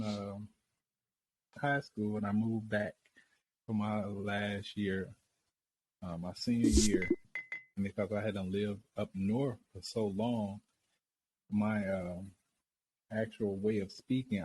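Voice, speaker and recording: A man with a fairly low voice chats in a loose, slangy way as a podcast co-host. There's a faint hiss under his voice. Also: steady tone, a little slow, long pauses, darkish tone, rough recording. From grew up as a military child, now living in the American South. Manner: easygoing, talkative, slangy. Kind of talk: casual podcast chat.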